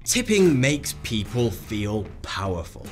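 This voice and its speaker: Wry man narrating, lively pitch. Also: slow pace. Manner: sarcastic, wry storytelling. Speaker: narrator of an explainer video. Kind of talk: scripted narration.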